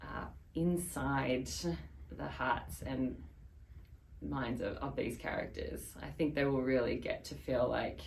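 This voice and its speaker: A woman's voice: very deep voice, fairly even intonation, slow pace, vocal fry, a little hiss or hum. A woman chatting casually and thoughtfully in a behind-the-scenes conversation.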